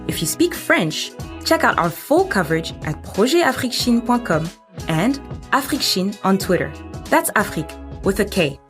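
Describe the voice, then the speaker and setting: A woman's voice: mid-range voice, big pitch swings, normal speed. A woman reading a scripted show outro announcement over music.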